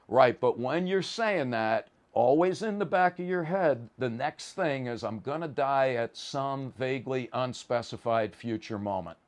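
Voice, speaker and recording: An American man from the Bronx, a professor giving an informal lecture in an emphatic, wry, colloquial way. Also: mid-range voice. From the Bronx, New York, USA. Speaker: psychology professor.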